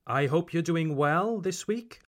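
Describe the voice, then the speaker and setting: A Welsh man who hosts an English-learning podcast. He speaks as a teacher, slowly and with careful articulation. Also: a little high, lively intonation, fairly bright tone. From Wales.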